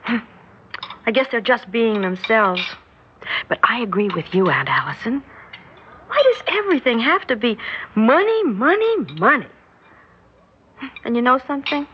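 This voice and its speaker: An actress playing an eager, chatty college-age niece in a 1960s radio drama, girlish, excitable and confiding. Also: high-pitched, slightly rough, heavily acted. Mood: confiding, wry. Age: young adult. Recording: muffled, noisy 1960s radio recording.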